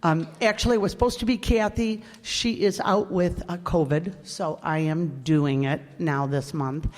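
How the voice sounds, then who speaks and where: A woman with a slightly low voice gives an informal introduction as a show's director and host. She addresses an audience in a hall with long reverb. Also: moderate pace. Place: hall, live stage performance.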